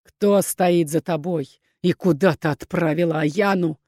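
A woman's voice: fairly low pitch, measured pace, dark tone, no echo, clean studio sound. A woman voicing a cold, stern male duke and rector who interrogates with scornful, commanding authority.

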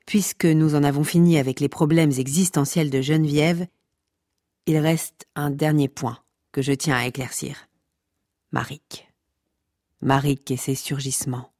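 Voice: mid-range voice, fairly even intonation, unhurried, a little mellow, dry recording, clean studio sound, close to an everyday voice. Une personne qui joue la narration omnisciente d'une fiction audio comique, pompeuse, théâtrale, taquine avec son auditoire. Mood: pompous, impatient. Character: pompous, mock-scholarly omniscient narrator addressing listeners. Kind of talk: scripted comic fiction narration.

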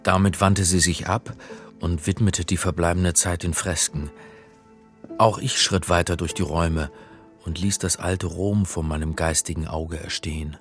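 Man as reflective academic narrator, low voice, measured, literary, music underneath, radio crime story. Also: steady tone, close to an everyday voice. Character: reflective male academic recounting his own story. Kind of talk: scripted first-person radio drama narration.

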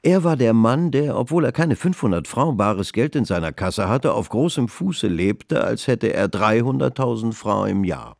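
A man narrating a historical radio drama in measured literary prose. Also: medium pitch, animated, gravelly, a little mellow, dry recording, studio quality. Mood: measured narration. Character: measured male narrator of a 19th-century finance saga.

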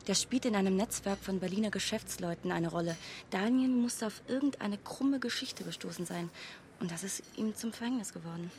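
Actress playing young grieving woman, radio drama, mid pitch, tense, informal clipped talk, faint background noise. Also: a little fast, darkish tone, natural voice. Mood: troubled, cooperative. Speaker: actor in a radio play. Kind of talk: naturalistic radio drama dialogue.

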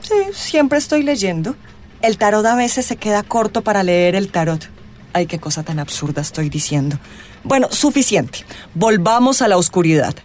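A woman's voice: mid-range voice, expressive, darkish tone, slight background noise, natural voice. An actress playing an eccentric, gushing and flirtatious witchy astrologer in a radio drama, with dramatic, suspenseful phrasing. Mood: brisk, mysterious. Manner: dramatic, suspenseful drawn-out delivery with whispers. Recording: music playing behind the voice.

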